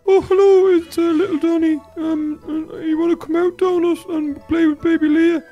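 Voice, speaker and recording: A performer voicing a cheeky, excitable baby character in a playful, wide-eyed Minecraft roleplay. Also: very high voice, normal speed, dull audio. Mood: sly. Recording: music behind the voice.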